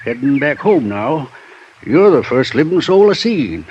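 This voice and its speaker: An actor playing a folksy old mountain prospector with a rural Western American accent in a radio drama. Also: male voice, medium pitch, sing-song, unhurried, darkish tone, slightly rough. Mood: friendly. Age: older. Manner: folksy, drawling backwoods talk. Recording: lo-fi old radio, faint noise.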